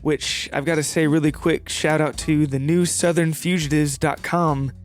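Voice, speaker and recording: Male podcast host, casual and jokey, self-deprecating, slightly higher register, light music behind. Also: little pitch movement, moderate pace. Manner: playful, joking, self-deprecating. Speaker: podcast host and story writer. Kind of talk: casual chat to listeners.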